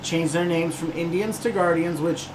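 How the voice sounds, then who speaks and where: A man co-hosting a casual sports podcast, talking calmly and reasonably. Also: on the high side, moderate pace, reverberant, crowd noise, low-quality recording. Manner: calm, reasonable, reads news aloud. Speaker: podcast co-host.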